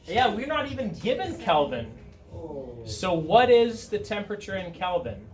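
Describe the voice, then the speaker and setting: A man's voice: high voice, moderate pace, over music. Dry-voiced male teacher heard from across a classroom. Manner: dry, self-described monotone, asks students questions. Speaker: chemistry teacher. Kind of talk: classroom review lesson. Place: high school classroom. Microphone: far camera at the back of the room. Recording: roomy classroom sound from a distance with students around.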